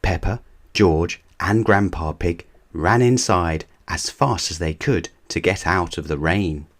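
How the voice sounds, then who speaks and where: Brief: man reading a children's book aloud, deep voice, unhurried. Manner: gentle and measured. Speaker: reader of children's books. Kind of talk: bedtime storybook reading.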